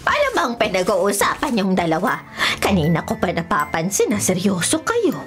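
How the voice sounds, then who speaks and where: A woman playing a caring, knowing old grandmother who speaks gently in a radio drama. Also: mid-range voice, wildly swooping pitch, normal speed, soft background music. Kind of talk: scripted radio drama acting.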